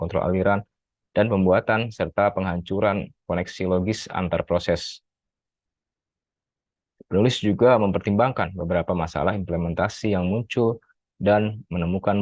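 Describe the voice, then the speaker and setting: A man's voice: low-pitched, a little mellow, dry recording. A male graduate student steadily reading a prepared academic article review, with occasional self-corrections. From Indonesia.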